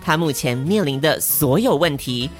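A woman's voice: sits low, animated, moderate pace, soft background music. A woman with a Taiwanese Mandarin accent co-hosting a podcast, moving between casual banter and storytelling. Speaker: podcast co-host.

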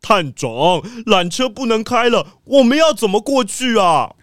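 A man's voice: extremely high pitch, sing-song, unhurried, fairly bright tone, dry recording, studio quality, heavily acted. A man playing an earnest, dutiful police squad leader in a children's audio drama. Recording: crowd clamour and noisy ambience behind.

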